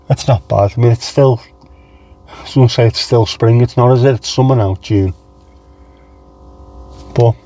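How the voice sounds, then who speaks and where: A man with a light, not very deep Scouse accent hosts a casual livestream. He rambles easily from one thought to the next and greets his viewers by name. His voice sits at an everyday pitch with a mellow, dark-toned warmth. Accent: mild Scouse. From England. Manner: chatty, rambling, reads out chat names and answers them. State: low on energy. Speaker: livestream host.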